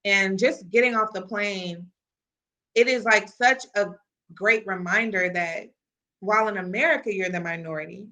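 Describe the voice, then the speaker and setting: A woman's voice: medium pitch, normal speed, pushed and bright. A young woman from the American Midwest, a podcast guest speaking earnestly and reflectively, with frequent "you know" fillers. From Kansas City, US Midwest. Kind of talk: casual conversational interview.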